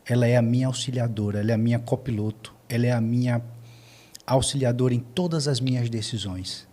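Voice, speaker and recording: Slightly husky Brazilian man, earnest. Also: medium pitch, fairly even intonation, brisk, dark tone. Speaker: podcast guest. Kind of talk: earnest conversational testimony.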